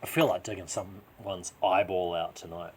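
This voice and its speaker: A low-pitched male voice with a New Zealand accent narrates gritty fiction in the first person. He reads dramatically, with profane, emphatic turns of phrase. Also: steady tone, normal speed, slight background noise. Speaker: author reading own short story.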